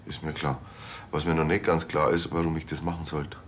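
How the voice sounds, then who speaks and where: A small-time crook in a TV drama, with a very deep voice. He is wary and wheedling, choosing polite words with dry hesitation. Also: level tone, a little fast, a little hiss or hum.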